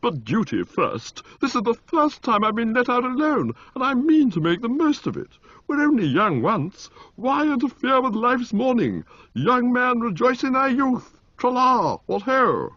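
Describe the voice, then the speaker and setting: A man's voice: huge pitch swings, measured pace, a bit bright, no room sound, heavily acted. A man doing Motty, a giddy young toff. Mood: exuberant. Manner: high, chirpy, exclamatory. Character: young male aristocrat, giddy and carefree. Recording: dull lo-fi, dry.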